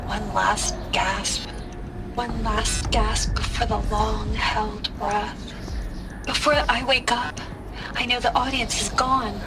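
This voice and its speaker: A weary scientist's voice log. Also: female voice, a little high, fairly even intonation, very noisy. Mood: pensive. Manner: slow, reflective, drifting into whispers. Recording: voice log under strong music.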